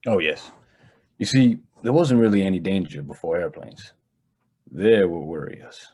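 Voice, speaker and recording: A man acting a privileged young heir in a radio drama, polite, measured and matter-of-fact, with a husky voice. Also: low-pitched, animated, darkish tone. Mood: earnest.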